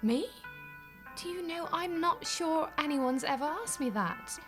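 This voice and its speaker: An actress playing a highly strung, prim female office manager, fretful and dramatic, in a comedy audio drama. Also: expressive, measured pace, a bit bright, soft background music. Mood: strained, flustered.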